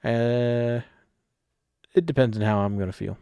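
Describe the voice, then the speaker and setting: A man's voice: mid-range voice, fairly even intonation, unhurried, dark tone, clear, resonant tone, no room sound, studio quality. A man chatting casually as the host of a video game livestream.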